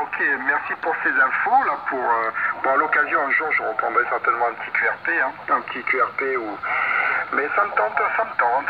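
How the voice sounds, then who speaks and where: A middle-aged man chatting casually as a ham radio operator over shortwave, repeating himself in encouraging chatter. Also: medium pitch. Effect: shortwave radio transmission. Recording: distant, dull, very noisy shortwave radio.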